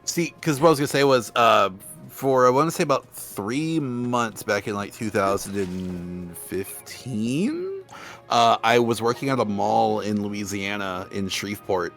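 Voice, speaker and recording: A man's voice: fairly low pitch, big pitch swings, measured pace, gravelly, a bit bright. A man from rural Oklahoma, a guest on a stream, telling casual anecdotes with lots of stammers and restarted sentences. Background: faint background music.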